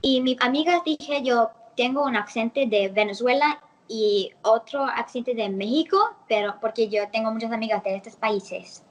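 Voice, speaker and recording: A girl in her early teens from the United States, chatting in an interview and often pausing to search for words. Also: fairly high pitch, poor audio. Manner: halting, searching for words, giggly. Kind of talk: casual multilingual chat.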